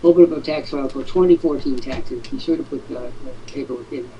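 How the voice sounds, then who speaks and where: Man, raspy, mellow tone, rambling joking host, lo-fi audio, faint background noise. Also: mid-range voice, fast talker. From New England. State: raspy throat. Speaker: webinar host. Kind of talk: casual webinar chat.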